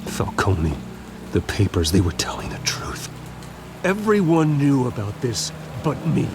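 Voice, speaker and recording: An actor playing a brooding, wounded billionaire vigilante in a video game, with a terse, intense way of talking. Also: male voice, medium pitch, wildly swooping pitch, measured pace, music in the background. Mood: betrayed. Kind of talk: dramatic video game acting.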